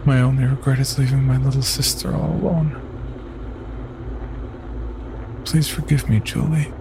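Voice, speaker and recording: An actor playing a haunted, disfigured young man narrating a first-person horror tale with somber, hushed dread. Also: medium pitch, fairly even intonation, brisk, vocal fry, background noise, lightly acted. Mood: remorseful.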